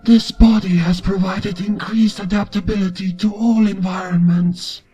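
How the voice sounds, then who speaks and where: A man's voice: high voice, steady tone, moderate pace, mellow, faint music behind, lightly acted. A man voicing a logical, detached inky mechanic creature in a story reading, terse and formal.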